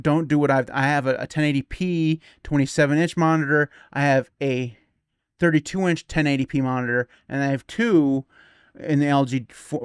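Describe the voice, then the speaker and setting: Rambling man, forty, smooth dry voice. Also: mid-range voice, dry recording. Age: 40s. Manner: rambling, stumbling restarts, laughs easily. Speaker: podcast co-host. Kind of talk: casual tech chat.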